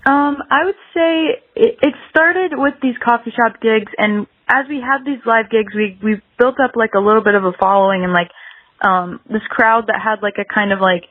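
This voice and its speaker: A dry, slightly dull recording of an American woman in her early twenties. She chats casually as a podcast guest at an average pitch, hedging often and peppering her sentences with "like.". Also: normal speed, no echo. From South Carolina, United States. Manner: hedging, very frequent "like" filler. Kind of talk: casual interview chat.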